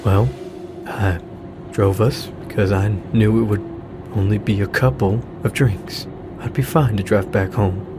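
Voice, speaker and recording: A man playing a grieving, apologetic middle-aged widowed father with a halting delivery in a naturalistic audio drama. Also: on the low side, fairly even intonation, background noise. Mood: wistful, subdued. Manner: halting, hesitant, sighing delivery. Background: music.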